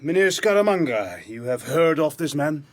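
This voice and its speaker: An actor playing a formal European agent in a radio drama, speaking stilted English. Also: male voice, on the high side, very animated, normal speed, a crowd in the background. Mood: grave, formal. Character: formal, stiff European agent reporting for his superiors.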